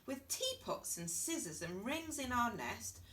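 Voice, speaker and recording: A woman's voice: a little high, big pitch swings, unhurried, a bit bright, distant mic, slight background noise. A woman voicing an anxious, easily upset mother hen in a children's story, exclaiming dramatically and fretfully.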